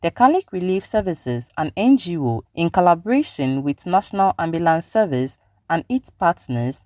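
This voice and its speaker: A woman's voice: fairly low pitch, very animated, moderate pace, mellow, no echo, studio quality. A woman with a Ghanaian accent reading scripted news narration as a reporter. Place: close dry voice-over recording.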